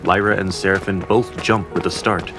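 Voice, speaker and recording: A man with a very low voice narrates a fantasy audio drama. His delivery is formal and literary, like scripted storytelling, with quiet music underneath. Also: fairly even intonation, moderate pace. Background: faint music.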